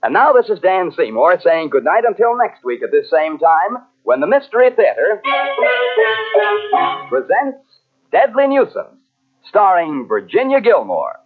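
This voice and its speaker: A man's voice: sits high, big pitch swings, measured pace, loud music behind the voice. A man working as a radio announcer, reading scripted credits in 1940s broadcast style. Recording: tinny vintage radio recording on cassette.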